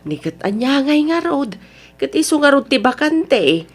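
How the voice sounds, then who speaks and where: A firm middle-aged mother in an audio drama, fairly high and very animated in pitch. Her Ilocano Filipino speech is motherly and admonishing, with a humble, patient temper. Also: a little slow. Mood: exasperated. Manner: scolding, motherly.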